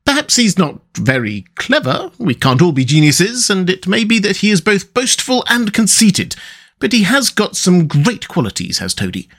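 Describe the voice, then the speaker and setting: A man's voice: sits high, huge pitch swings, normal speed, fairly bright tone, voice pushed up, full character voice. A man voicing a cheerful, good-natured water rat in a storybook, chatty and emphatic. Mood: warm, chatty. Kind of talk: storybook character dialogue.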